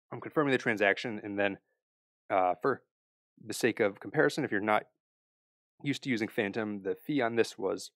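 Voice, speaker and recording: A young man with a fairly low voice talks viewers through a screen-recorded tutorial step by step. His delivery is relaxed and explanatory, full of "uh"s and small restarts. The sound is close and dry, with no room around it. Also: dry recording. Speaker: crypto tutorial host.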